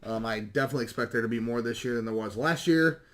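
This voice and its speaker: A man with a slightly hoarse voice chats casually and ramblingly as a video host showing off recent pickups. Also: medium pitch, fast talker, background noise. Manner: rambling, self-correcting, mild swearing. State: slightly hoarse, losing his voice. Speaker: YouTube video game collecting host.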